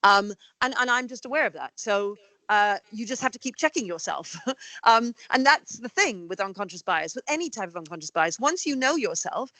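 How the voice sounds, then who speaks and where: A middle-aged woman from Canada, a school headteacher speaking as an interview guest in an animated, talkative way with many fillers and restarts. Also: mid-range voice, normal speed, no room sound, poor audio. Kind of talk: conversational interview.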